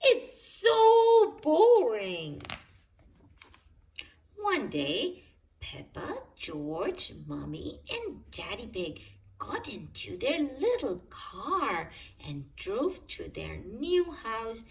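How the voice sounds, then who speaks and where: Female storybook reader for young children; expressive, unhurried delivery, lively melody. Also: a little high, recorded from a distance. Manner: expressive, addresses young listeners directly. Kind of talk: read-aloud children's story narration.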